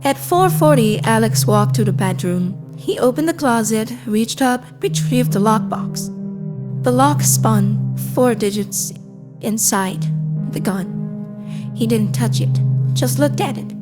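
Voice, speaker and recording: A woman playing a calm, impassive AI assistant, narrating a scripted audio drama in a measured, detached, deliberate way. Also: a little high. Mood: somber, measured. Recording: cinematic music behind. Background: cinematic score.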